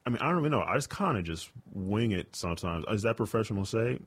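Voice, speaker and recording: A young man, a comedian and radio host, rambling through a casual interview with lots of fillers and restarts, with a booming voice. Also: lively intonation, fast, slightly rough.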